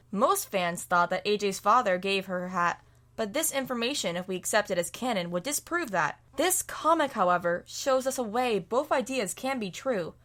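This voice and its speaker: A woman's voice: medium pitch, fairly bright tone. A woman giving scripted analysis commentary as a guest fan commentator.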